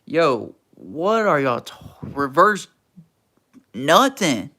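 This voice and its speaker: Slow, gravelly young man chatting on stream. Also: fairly high pitch, sing-song, pushed and bright. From Texas. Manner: laid-back, slangy, answers chat with teasing. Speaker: livestream host reading chat. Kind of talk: casual livestream chat.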